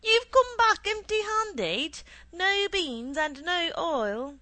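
A woman's voice: fairly low pitch, flat delivery, takes it slowly, no echo. A woman voicing an exasperated, scolding mother in a storybook, sharply emphatic. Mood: astonished scolding.

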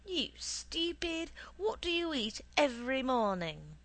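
A woman's voice: lowish voice, little pitch movement, takes it slowly. A woman voicing an exasperated, scolding mother in a storybook, sharply emphatic.

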